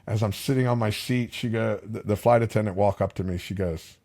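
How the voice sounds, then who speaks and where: A man's voice: fairly low pitch, a little fast, dark tone. A middle-aged man, bilingual with Spanish as his first language, telling stories in a casual, chatty interview with many fillers and restarts. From Palm Beach County, Florida. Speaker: podcast guest, fishing captain.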